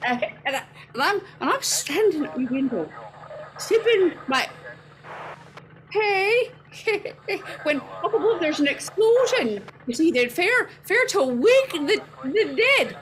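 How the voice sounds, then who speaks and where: An excitable, rambling eyewitness in an old radio drama. The voice is high and very lively, stammering in folksy dialect over some background noise. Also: female voice, slow, heavily acted, low-quality recording. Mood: excited rambling. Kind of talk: 1940s radio comic character acting.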